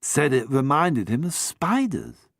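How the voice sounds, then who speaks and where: A man's voice: mid-range voice, wildly swooping pitch, measured pace, vocal fry, a bit bright, dry recording, studio quality, exaggerated voice. A man voicing a cheerful young who gushes and stammers when caught out. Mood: breezy. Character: jolly young upper-class man, eager and flustered.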